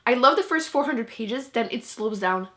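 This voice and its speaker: Bright chatty woman, French native speaker. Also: fairly high pitch, expressive, normal speed. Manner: chatty, laughs often, self-mocking. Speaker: book vlogger. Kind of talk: casual chat to camera.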